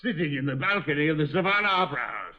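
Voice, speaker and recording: Pompous, haughty gentleman played by a man, muffled old radio. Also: on the high side, expressive, racing, close to an everyday voice. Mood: scandalised. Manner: florid, indignant, grandly over-enunciated phrasing. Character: pompous, haughty, theatrical gentleman, easily scandalised. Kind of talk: old-time radio detective drama acting. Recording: muffled vintage radio recording.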